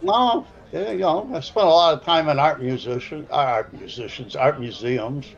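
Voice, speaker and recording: A man's voice: on the high side, moderate pace, vocal fry, dull audio. A man in his seventies with a South Side Chicago accent, giving rambling, folksy color commentary on a pool match. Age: 70s.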